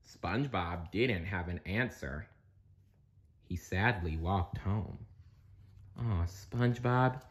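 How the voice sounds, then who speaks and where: A man reading a children's storybook aloud as narrator, in an expressive, dramatic storytelling manner. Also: low voice, takes it slowly. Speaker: storybook reader.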